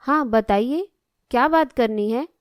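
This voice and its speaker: A woman acts as a dutiful young cartoon daughter with a high, smooth voice. She is polite, plain-spoken and level-headed, recorded dry and close. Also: measured pace, no echo, lightly acted. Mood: polite, attentive. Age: young adult. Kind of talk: Hindi animated moral story dialogue.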